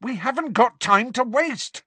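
A man voicing a hot-tempered teenage boy in an audiobook, rough, urgent and defiant. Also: very high voice, animated, unhurried, pushed and bright, no echo, pitched above the performer's own voice, heavily acted. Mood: urgent, shouting. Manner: rough, aggressive, emphatic delivery. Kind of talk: audiobook character voice.

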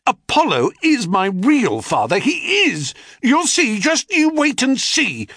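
A man voicing a proud, sulky boy, the son of a god, who talks high and defiant. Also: huge pitch swings, measured pace, a bit bright, dry recording, full character voice. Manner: high-pitched, boastful, defiant.

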